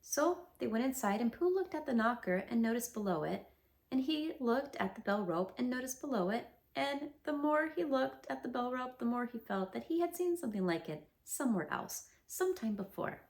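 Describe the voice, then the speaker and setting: A woman reads a children's storybook aloud in a lively, sing-song way, her pitch swooping widely. Her voice sits fairly high with a slight gravelly fry. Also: normal speed. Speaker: read-aloud narrator.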